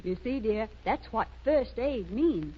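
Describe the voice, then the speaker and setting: Gentle high-voiced mother, old radio. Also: lively intonation, unhurried. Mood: soothing. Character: gentle, soothing mother of a little girl. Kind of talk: 1930s radio commercial skit acting. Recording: muffled, noisy 1930s radio recording.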